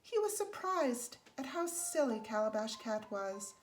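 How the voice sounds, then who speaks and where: A woman reading a children's picture book aloud as a storyteller, in a measured, deliberate way with emphasized phrases. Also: a little high, big pitch swings. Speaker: storybook reader. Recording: faint background noise.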